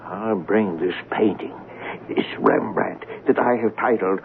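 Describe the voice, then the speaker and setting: An actor playing a deranged, grandiose anarchist painter in a radio drama, declaiming pompously and theatrically as though the world is against him. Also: male voice, deep voice, a little slow, gravelly. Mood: scornful, triumphant. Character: deranged, grandiose anarchist painter, a man who fancies himself persecuted. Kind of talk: old-time radio drama acting. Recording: tinny, hissy old radio broadcast.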